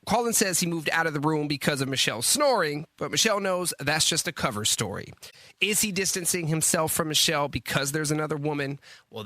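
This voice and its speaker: An American man with a bright, forceful, slightly high voice works as a morning radio host. He delivers polished show banter in a dry studio sound. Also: dry recording.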